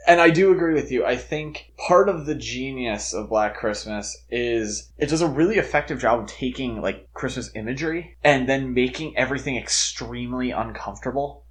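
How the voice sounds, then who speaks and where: Casual male podcast co-host, medium pitch. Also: normal speed, hardly any pauses. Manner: informal, conversational, filler-heavy with frequent "like". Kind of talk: casual film discussion.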